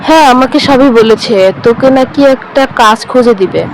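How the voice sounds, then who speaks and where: A woman's voice: a little high, brisk, slight background noise, natural voice, rough recording. A woman voicing a worried, weary, middle-aged village mother in a cartoon story, with a plaintive, motherly manner. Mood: anxious. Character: worried, weary village mother fretting over her son.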